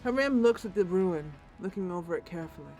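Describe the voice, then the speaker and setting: A woman narrating a roleplayed game as its host, in an expressive, deliberate storytelling style. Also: lowish voice, soft background music, low-quality recording. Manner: deliberate, theatrical.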